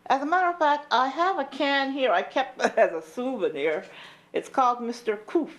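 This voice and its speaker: A woman's voice: high voice, normal speed, close to an everyday voice. An actress playing a puzzled housewife describing her odd purchase matter-of-factly. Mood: bemused. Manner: matter-of-fact, bemused. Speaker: interviewee.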